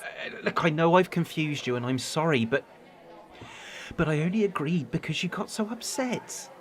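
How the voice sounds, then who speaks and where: A man's voice: fairly high pitch, expressive, moderate pace, natural voice. An actor playing an anxious, worn-down young boyfriend in a radio drama, hesitant and stammering as he pleads his case. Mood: pleading, weary. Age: young adult. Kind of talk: naturalistic radio drama acting.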